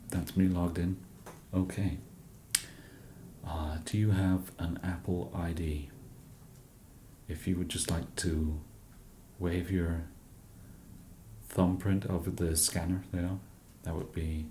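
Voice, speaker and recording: English man, ASMR roleplay, deep mellow voice, roomy echo, faint noise. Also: little pitch movement, takes it slowly, long pauses. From England. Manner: soft-spoken, hesitant with many fillers. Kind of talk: ASMR roleplay as a shop genius.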